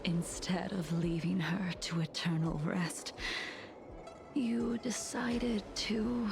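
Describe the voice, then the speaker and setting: A hushed, solemn swordswoman, slow-spoken. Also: medium pitch, steady tone, creaky, music in the background. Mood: grave, reproachful. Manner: slow, measured, grave. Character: solemn, grieving veteran swordswoman, cool and restrained. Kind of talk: English-dubbed video game story acting.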